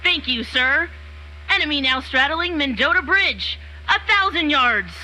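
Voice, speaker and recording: A woman's voice: high-pitched, expressive, unhurried, bright, forceful tone, heavily acted, low-quality recording. A woman playing an earnest, deferential rookie bomber airman in a comedic radio drama, giving clipped military reports.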